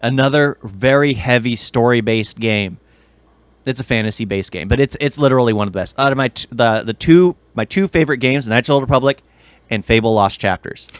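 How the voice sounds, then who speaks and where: A man's voice: mid-range voice, a little fast, smooth, dry recording. Opinionated man rambling in casual chat. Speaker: podcast co-host. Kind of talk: casual chat about video games and film.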